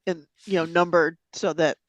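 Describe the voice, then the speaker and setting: A woman's voice: on the low side, steady tone, normal speed, no echo. A woman teaching a photo editing demonstration, chatty and casual with frequent ums, sniffling a little from a cold. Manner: chatty, laughs easily, lots of ums. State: has a slight cold, sniffling. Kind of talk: live software demonstration. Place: meeting room or online forum.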